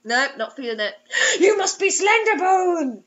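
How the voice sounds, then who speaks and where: Young woman, high voice, hamming up a dramatic read-aloud with wry asides; lo-fi webcam recording. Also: very animated, unhurried, a bit bright. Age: young adult. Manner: theatrical, overwrought. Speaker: co-host reading bad fiction aloud. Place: small indoor room. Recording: dull lo-fi webcam sound.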